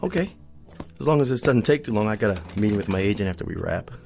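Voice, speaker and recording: An actor playing a timid TV Western star in an audio drama, speaking with an American accent in a hesitant, placating way. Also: male voice, on the low side, lively intonation, fast, vocal fry, noisy background. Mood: nervous, evasive. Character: timid, evasive actor who plays a TV Western marshal. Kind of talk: comic radio drama acting. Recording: music under the dialogue.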